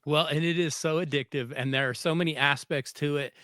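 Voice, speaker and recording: A man's voice: on the high side, fairly even intonation, brisk, sharp tone, no echo, studio quality. An American man hosting a conversational interview, speaking earnestly and emphatically and often repeating his phrases. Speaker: podcast host, former coach.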